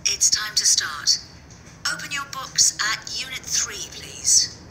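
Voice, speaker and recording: Clear, bright-voiced woman reading lessons. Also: medium pitch, big pitch swings, a little hiss or hum, low-quality recording. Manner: slow, clear, careful speech. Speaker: course audio reader. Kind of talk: scripted coursebook dialogue.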